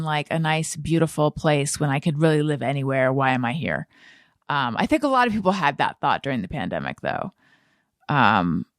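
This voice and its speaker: American woman podcaster, low-pitched and thoughtful. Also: no echo, studio quality. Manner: halting, self-correcting, thinking aloud. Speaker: podcast host and interviewer. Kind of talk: casual conversational interview chat.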